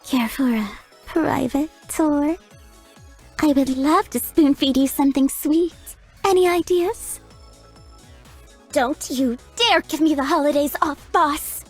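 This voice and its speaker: Giggly flirty maid. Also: female voice, high voice, slow, darkish tone, soft background music. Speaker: chambermaid character. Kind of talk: video game voice lines.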